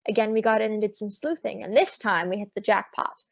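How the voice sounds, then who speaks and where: A woman playing a sassy, quick-witted female private detective in an old-time radio drama, wry and brisk. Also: mid-range voice, gravelly, no echo. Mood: wry narration. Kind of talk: old-time radio drama acting with first-person narration. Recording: dull lo-fi audio.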